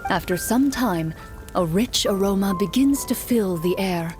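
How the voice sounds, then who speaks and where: A woman voicing a composed game master, narrating a tabletop quest in measured, descriptive storytelling. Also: medium pitch, expressive, music in the background, natural voice. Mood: composed narration. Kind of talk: scripted second-person storytelling narration.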